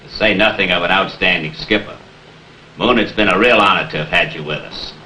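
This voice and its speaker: A man's voice: sits low, brisk, pushed and bright, low-quality recording. A man hosting a 1950s television program, formally presenting and interviewing a guest. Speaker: television host. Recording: 1950s TV soundtrack with hiss.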